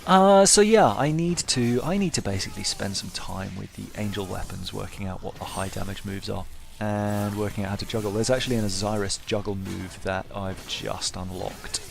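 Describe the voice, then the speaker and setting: A man's voice: on the low side, normal speed, gravelly, hardly any pauses, noisy background. A man giving casual gameplay commentary as a video host, wry, understated and self-deprecating.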